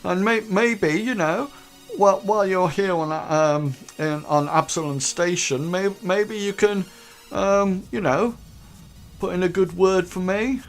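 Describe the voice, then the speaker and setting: Eager, stammering recruit, played by a man; high-ish. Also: measured pace, soft background music. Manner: stammering, hesitant. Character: nervous, eager young male recruit.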